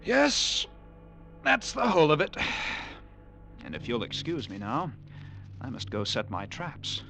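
Nervous lighthouse keeper, old radio drama, slow brooding delivery, very dramatic pitch swings, violin music behind. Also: male voice, wildly swooping pitch, creaky, pushed and bright, full character voice. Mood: grim, brooding. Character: lighthouse keeper recounting a grim tale, nervous, wry. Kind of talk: 1940s-50s radio drama acting. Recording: vintage radio broadcast with orchestral music.

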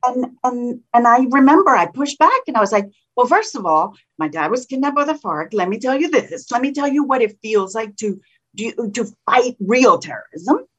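A woman with a slight Colombian Spanish accent, a state senator talking in an interview in an animated, combative way with frequent restarts. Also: fairly high pitch, moderate pace, breathy edge, dull audio. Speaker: state senator, political guest. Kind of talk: casual political interview.

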